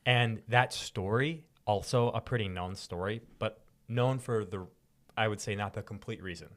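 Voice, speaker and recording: A man's voice: on the low side, moderate pace, a bit bright. A young man co-hosting a podcast, chatting about Bible stories in an informal, slangy, enthusiastic way. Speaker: podcast co-host.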